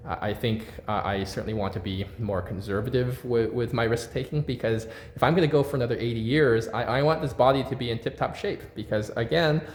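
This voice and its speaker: A man in his forties talking casually to his viewers, animated and repeating words, with frequent fillers and tag questions. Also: mid-range voice, brisk, hardly any pauses, slight background noise. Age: 40s. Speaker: vlogger. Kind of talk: casual monologue to camera. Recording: echoey room.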